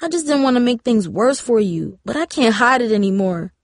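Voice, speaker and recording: A woman's voice: extremely high pitch, animated, fairly quick, dark tone, dry recording, clean studio sound, pitched above the performer's own voice. A woman playing a vulnerable, frustrated teenage girl in a storybook, emotional and pleading. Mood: anxious, confessing.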